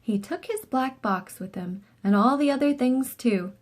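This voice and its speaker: A woman reading a children's book aloud in an expressive, animated storytelling style with short asides. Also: high voice, a little slow. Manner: animated, acts out dialogue.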